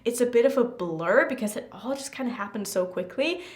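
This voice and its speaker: A woman's voice: a little high, lively intonation, fairly quick, roomy sound. A woman from Norway telling a casual, chatty story to her viewers in an informal, rambling way with frequent asides. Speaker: makeup vlogger.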